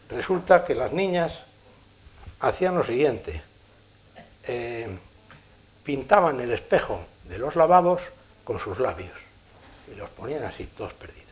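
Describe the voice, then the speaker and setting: Man, accent from Spain, conference talk, anecdotal and chuckling, somewhat high pitch. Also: rough recording. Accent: Spanish from Spain. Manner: lively storyteller, chuckling through his own anecdotes. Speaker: engineer giving a conference talk. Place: lecture hall.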